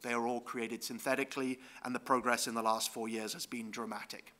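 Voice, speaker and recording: Male conference presenter, mid pitch, sharp bright voice, explanatory, lots of ums. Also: level tone, a little fast. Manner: explanatory, with frequent ums and tag questions. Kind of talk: conference talk with slides.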